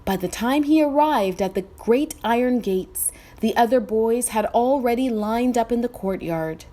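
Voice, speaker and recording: Woman, children's storybook narrator, high-pitched, slow, expressive.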